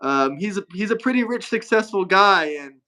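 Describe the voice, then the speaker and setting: A man's voice: high voice, animated, moderate pace, pushed and bright. A man hosting a live-stream debate, blunt and profane, with a slight lisp, reading viewer messages aloud. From Florida. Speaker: streamer host.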